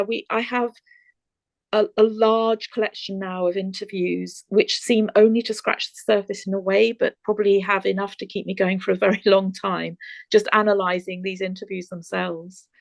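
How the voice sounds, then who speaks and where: Woman lecturer on a video call, gravelly voice, dry sound, thoughtful, pauses with fillers as she answers. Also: medium pitch, no echo. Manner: thoughtful, hesitant with many fillers. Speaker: guest lecturer. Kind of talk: answering audience questions.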